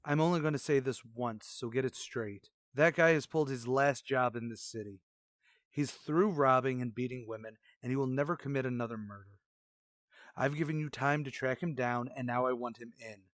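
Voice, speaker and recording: A man's voice: medium pitch, moderate pace, fairly bright tone, no echo, clean studio sound, natural voice. Man acting a stern, commanding police chief. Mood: stern, fed up. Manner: blunt, clipped, authoritative. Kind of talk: 1950s police radio drama acting.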